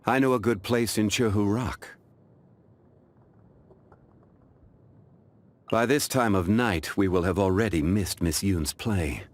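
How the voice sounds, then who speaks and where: A male actor playing a calm, dignified, ancient immortal man in a video game, speaking in a measured, formal, refined way. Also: fairly low pitch, lightly acted. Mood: genial, composed. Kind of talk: scripted video game character voice lines.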